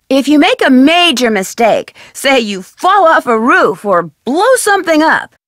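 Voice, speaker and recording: An actress playing a curious, upbeat young woman detective in a video game, with a friendly, clearly articulated delivery. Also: high voice, no echo, clean studio sound. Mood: upbeat, instructive. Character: Nancy Drew, curious, upbeat young woman amateur sleuth.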